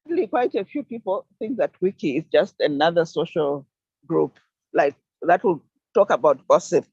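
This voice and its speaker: An older woman from Zambia giving an earnest, deliberate talk on an online conference call, stressing key words. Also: lowish voice, animated, darkish tone, husky, no room sound. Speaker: panel speaker. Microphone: video call. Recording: dull dry video call audio.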